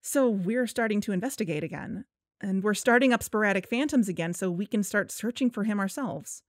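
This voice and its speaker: Actress as earnest young podcast investigator. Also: female voice, medium pitch, brisk, dry recording, clean studio sound, natural voice. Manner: earnest, coaxing. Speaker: podcast host. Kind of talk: fiction podcast narration and phone dialogue.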